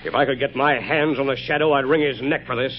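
An actor playing a gruff, irritated police boss in an old radio drama, curt and impatient. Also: male voice, mid-range voice, steady tone, quick pace, soft background music, close to an everyday voice. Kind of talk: 1940s radio drama acting. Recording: dull, noisy old radio recording.

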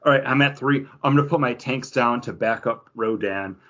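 A man co-hosting a podcast, chatting casually about a mobile game in a rambling, animated way. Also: medium pitch, moderate pace, dull audio. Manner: rambling, animated, acts out his own reactions. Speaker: podcast co-host.

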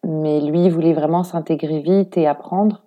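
A woman's voice: deep voice, steady tone, normal speed, dark tone. A woman in her thirties from France with a French accent, talking informally and reflectively in an interview, thinking aloud as she goes. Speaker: interview guest, expatriate mother; preschool worker. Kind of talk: casual conversational interview.

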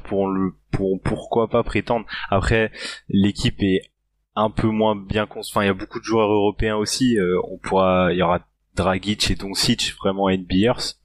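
A man's voice: fairly low pitch, steady tone, moderate pace. A man on a sports chat panel giving a hesitant answer. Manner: hesitant, lots of fillers. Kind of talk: casual basketball chat.

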